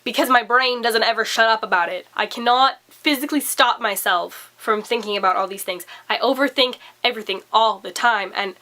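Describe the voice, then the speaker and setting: A young woman talking casually to camera, her voice still hoarse and recovering after being sick, rambling and confessional with lots of fillers. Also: on the high side, a little fast, hardly any pauses, pushed and bright. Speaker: vlogger.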